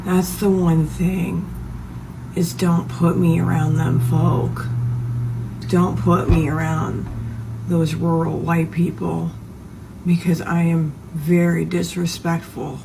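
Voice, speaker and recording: A woman with a hoarse voice telling a story in a flat, unhurried manner for a phone video. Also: fairly low pitch, noisy background. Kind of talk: social media monologue.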